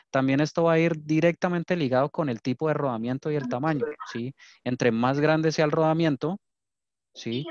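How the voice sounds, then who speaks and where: A man's voice: mid-range voice, no echo. A man with a Colombian accent from Cali presenting a technical webinar as an engineer and trainer, explaining and often checking in with short tag questions. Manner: explanatory, frequent "¿sí?" check-ins. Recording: dull lo-fi online meeting audio.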